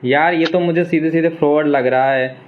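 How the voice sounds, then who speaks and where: A man's voice: on the high side, fairly even intonation, normal speed. An insistent man in an argumentative phone conversation who keeps repeating his point. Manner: repetitive, insistent. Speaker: video creator recording a live phone call with a suspected scam caller. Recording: thin phone-like sound.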